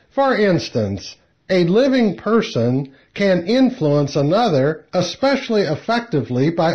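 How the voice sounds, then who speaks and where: A man reading a scripted spiritual lecture in a measured, deliberate, solemn manner. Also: fairly high pitch. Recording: slightly dull lo-fi recording.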